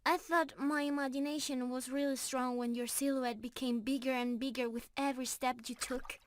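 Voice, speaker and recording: A woman's voice: high voice, little pitch movement, moderate pace, no echo, clean studio sound, close to an everyday voice. A woman playing an affectionate, playful young mermaid in love, talking tenderly and giggly to the listener in an ASMR roleplay. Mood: tender joy. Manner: sweet, giggly, tender, addressing the listener directly. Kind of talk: ASMR roleplay, scripted intimate acting.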